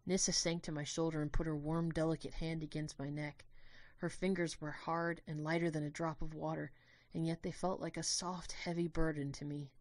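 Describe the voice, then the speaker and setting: A woman giving an earnest, expressive first-person narration of a dramatic story. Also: deep voice, moderate pace, dry recording.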